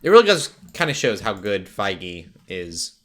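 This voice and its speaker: A man hosting a podcast, chatting casually in a low-key, slightly hesitant way. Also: sing-song, unhurried, a bit bright, a little hiss or hum. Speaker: podcast host. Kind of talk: casual chat.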